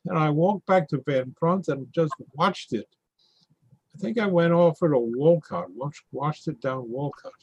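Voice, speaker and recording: A man's voice: a little high, unhurried, darkish tone, slightly rough, no echo, highs cut off. A man reading a monologue, giving a detailed street-by-street account of watching a flood. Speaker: reader performing a monologue. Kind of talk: readers theatre monologue.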